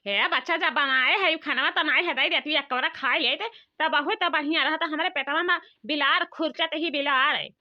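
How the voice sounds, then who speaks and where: A woman's voice: high-pitched, normal speed, hardly any pauses, natural voice. A woman playing a grumbling, hungry old village mother-in-law in a comic cartoon, rambling and complaining with an Awadhi accent. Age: older. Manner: complaining, rambling rustic talk. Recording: dull lo-fi sound.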